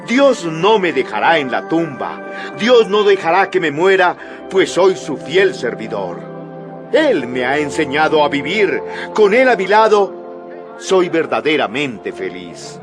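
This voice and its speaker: A fervent apostle in a dramatized audio Bible. His fairly high voice swings widely as he declaims boldly and emphatically to his listeners, with music playing clearly behind him. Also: very animated, slow. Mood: joyful, trusting. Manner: declamatory, emphatic public address. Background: background music.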